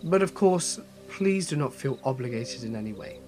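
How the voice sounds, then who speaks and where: A man's voice: medium pitch, lively intonation, moderate pace, darkish tone, soft background music. A man with a slight head cold, hosting and narrating a horror story channel in a scripted storytelling style.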